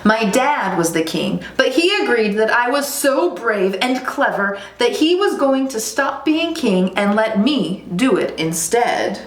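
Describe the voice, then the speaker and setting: A woman's voice: on the high side, big pitch swings, unhurried, hardly any pauses, pitched above the performer's own voice. A woman voicing a boastful young male lion cub who brags earnestly in a storybook read-aloud. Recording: roomy echo, faint background noise.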